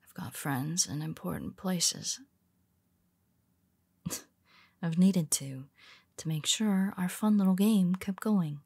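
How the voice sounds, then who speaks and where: A woman voicing a young female superhero in an audio roleplay, speaking hesitantly in a husky half-whisper with many restarts. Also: on the low side, takes it slowly, pauses often, warm tone, no echo, full character voice. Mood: tender, confessional.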